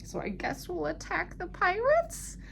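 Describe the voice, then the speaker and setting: Timid, reluctant policemen as a woman puts them on in a puppet-show operetta. The voice is very high and lilting, with big swoops and a bouncy singsong manner, over some room noise. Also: huge pitch swings, measured pace, gravelly, sharp tone, full character voice. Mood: nervous, reluctant. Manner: singsong, bouncy comic-opera delivery. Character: timid, cowardly policemen singing about their reluctant duty.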